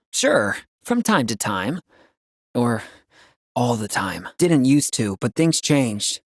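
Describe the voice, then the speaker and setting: A man's voice: a little high, no room sound, studio quality. A man voicing an upbeat, loyal young ex-soldier in a video game, with a casual, boyish, earnest delivery. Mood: reflective, subdued.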